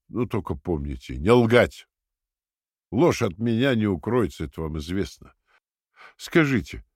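An actor playing a cold, calmly sadistic interrogator in an audio drama, speaking in a deliberate, patronising and commanding way. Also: male voice, fairly low pitch, no echo, clean studio sound.

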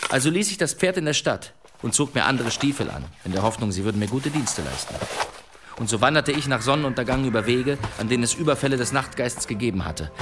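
A man in a German radio play acting a self-assured adventurer who tells tall tales in florid, measured storytelling. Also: mid-range voice, close to an everyday voice. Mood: ominous storytelling.

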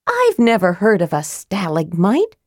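A woman voicing a nervous, sharp-tongued young woman adventurer in a fantasy audiobook, anxious and easily irritated. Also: mid-range voice, huge pitch swings, a little slow, no echo, clean studio sound. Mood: indignant. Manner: snappish and anxious.

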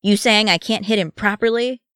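A woman's voice: medium pitch, sing-song, normal speed, a bit bright, no room sound, clean studio sound, pitched above the performer's own voice. A woman voicing a bossy, scornful young dwarf boy in a fantasy story, speaking in a snappy, taunting way. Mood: cocky.

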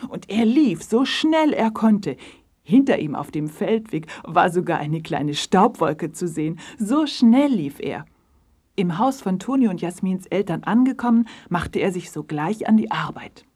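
A woman with a husky, breathy voice narrating a children's story in a dramatic, suspenseful way. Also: fairly low pitch, big pitch swings, measured pace, mellow.

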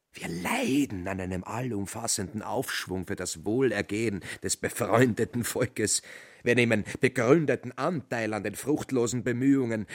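An actor playing a pompous tailor in a radio play, declaiming long-winded, flowery speeches. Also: male voice, medium pitch, lightly acted.

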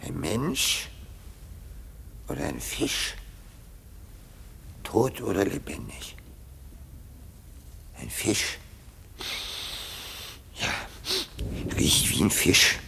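An actor playing a timorous, puzzled comic court jester in a radio play, muttering and wondering aloud in short fragments. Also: male voice, on the low side, big pitch swings, extremely slow, gravelly, pauses often, pushed and bright, a little hiss or hum, exaggerated voice. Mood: puzzled, curious. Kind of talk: German radio play acting, comic monologue.

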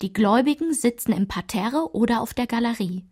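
A woman performing a narrator who recalls her small-town French childhood in a literary radio play, in a measured, enumerating delivery. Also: fairly high pitch, animated, a little mellow, husky. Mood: measured, reflective.

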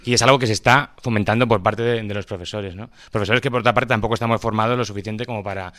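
A man with a Madrid accent and a smooth, fairly low voice, recorded dry. He speaks off the cuff as a teacher at a public debate, hesitating as he looks for words and tacking little questions onto his phrases. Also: fairly even intonation, fast, a bit bright, dry recording. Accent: Madrid Spanish. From Madrid, Spain. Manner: hesitant, searching for words, frequent tag questions. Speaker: schoolteacher speaking from the audience. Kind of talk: impromptu speech at a public debate.

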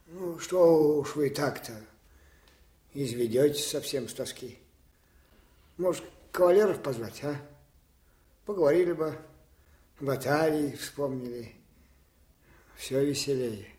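Folksy grumbling servant, higher-pitched. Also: male voice, takes it slowly, pauses often, mellow. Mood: coaxing, concerned. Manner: folksy, familiar, chiding. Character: plain-spoken, devoted, grumbling male orderly of an old commander. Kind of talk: Soviet radio drama acting.

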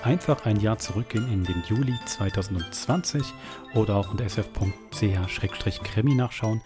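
A male podcast host with a lowish voice. He addresses listeners directly and informally over clearly audible music. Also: moderate pace. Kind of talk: casual scripted podcast presenting.

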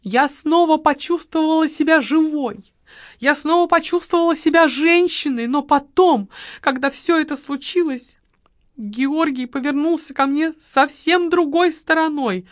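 A woman reader voicing an emotional young widow who complains in a high, tearful, shrill voice. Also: measured pace, no echo, studio quality. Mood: aggrieved.

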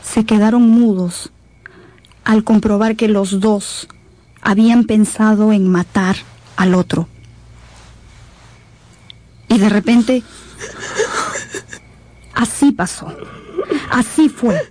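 A woman's voice: mid-range voice, fairly even intonation, slow, long pauses, dark tone, no echo. A woman narrator telling a radio novel in a solemn, suspenseful, dramatic scripted style. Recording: dramatic music behind the voice.

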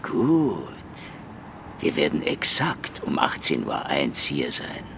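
A man's voice: a bass voice, wildly swooping pitch, slow pace, gravelly, background noise, exaggerated voice. An actor playing a tough, sly Soviet secret policeman with a sardonic, bullying manner in a radio crime drama. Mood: insistent, sly.

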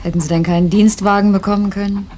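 An actress playing a sceptical, curious new publishing colleague in a 1970s German radio drama, probing and lightly ironic. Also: female voice, medium pitch, flat delivery, fast talker, mellow, close to an everyday voice. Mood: impatient, ironic. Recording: faintly noisy 1970s radio drama, train ambience.

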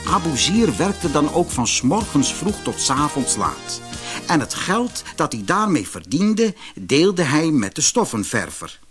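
A man with a Netherlands Dutch accent reads a scripted fairy tale as an expressive audiobook narrator. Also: fairly high pitch, measured pace, over music. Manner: expressive storytelling.

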